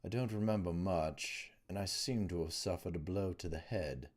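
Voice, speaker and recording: A man voicing a dazed, wary male prisoner in an audiobook, in a subdued, halting manner. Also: deep voice. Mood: dazed, unsure. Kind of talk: audiobook character voice.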